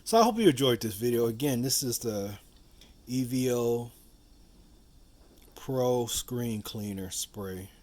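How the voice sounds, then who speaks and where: A man's voice: medium pitch, animated, slow pace, pauses often, darkish tone. A man giving a casual hands-on how-to demonstration, rambling and repeating himself with frequent asides. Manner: rambling, repetitive, with frequent "you know" asides. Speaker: video host demonstrating a product.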